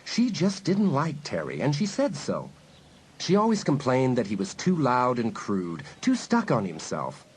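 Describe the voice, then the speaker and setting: A man's voice: medium pitch, wildly swooping pitch, measured pace, breathy edge. A man reading scripted story narration as the narrator of an audiobook.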